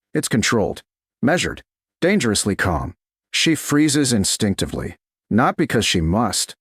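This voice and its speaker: A man narrates a romance story in measured, dramatic phrases. His voice sits low, with very animated swings in pitch. The recording is dry and close, with no room sound. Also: slightly rough, no echo. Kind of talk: scripted audiobook narration of a romance.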